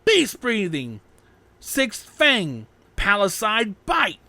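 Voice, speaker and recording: A wild, aggressive young warrior from an audio story, acted out by a male narrator. The voice is raised and forceful, punching out each attack call. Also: sits high, wildly swooping pitch, drawn-out words, no echo, voice pushed up, heavily acted. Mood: fierce.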